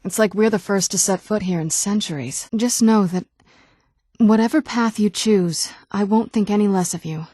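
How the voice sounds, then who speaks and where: An actress playing a guarded, wistful young-sounding vampire woman in a video game, speaking in a measured, conversational way with wry understatement. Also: medium pitch, mellow, no echo, lightly acted. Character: female vampire daughter, centuries old yet youthful, wry, guarded, wistful. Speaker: video game companion character. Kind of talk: video game dialogue acting.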